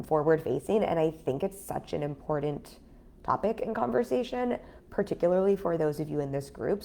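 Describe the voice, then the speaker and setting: A woman in her thirties talking casually on a livestream as a business coach, her voice raspy after an illness, chatty with many fillers. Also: fairly low pitch, warm tone. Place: home in a city apartment.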